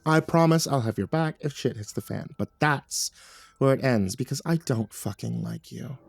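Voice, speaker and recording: A man's voice: mid-range voice, normal speed, creaky, no room sound. A man plays a tough, brash woman in an audiobook story. She barks and teases with sardonic scorn and a foul mouth. The sound is dry and close. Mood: sardonic, reassuring. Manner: barking, sardonic. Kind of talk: audiobook character voice.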